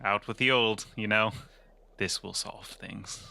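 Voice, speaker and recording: Man playing a low, sharp-edged, glib mercenary. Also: fairly even intonation, a little slow, vocal fry, no room sound, exaggerated voice. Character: wry, laid-back cyberpunk mercenary, cavalier. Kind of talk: improvised tabletop role-play.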